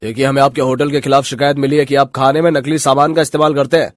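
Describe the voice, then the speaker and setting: A man's voice: medium pitch, level tone, quick pace, natural voice. Man acting a stern, brusque food inspector. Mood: stern, commanding. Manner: authoritative, brusque. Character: stern, accusing male food inspector in a cartoon.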